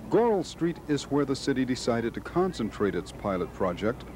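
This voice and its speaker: A man's voice: medium pitch, very animated, normal speed, a little mellow, slight background noise. An American man narrating a scripted television news field report.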